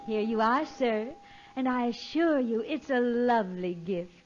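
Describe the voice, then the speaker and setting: A woman's voice: a little high, unhurried, a little mellow, a little hiss or hum, lightly acted. An actress playing a sweet, courteous saleswoman with a polished, gracious manner in a 1940s radio comedy. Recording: dull, lo-fi old radio recording.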